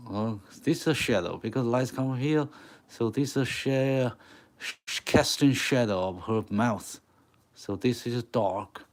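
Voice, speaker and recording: A man whose first language is Mandarin Chinese, speaking English with a Mandarin accent, teaching chalkboard drawing live in halting, word-searching English. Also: medium pitch. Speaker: art teacher.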